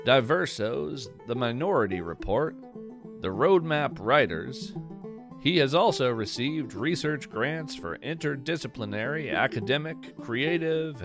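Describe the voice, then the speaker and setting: A man reading scripted podcast credits as host, in a measured and articulate way. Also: mid-range voice.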